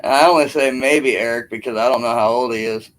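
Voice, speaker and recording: A man in his early forties hosting a casual live stream chat, relaxed and chuckling between remarks. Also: mid-range voice, a little fast, low-quality recording. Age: 40s.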